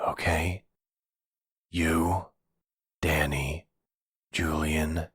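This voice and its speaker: Estranged mobster husband and protective father, male performer, remorseful, earnest, halting, raspy, bottom-register voice for roleplay drama. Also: rumbling low, a bit bright, dry recording, clean studio sound, heavily acted. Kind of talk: ASMR audio drama roleplay.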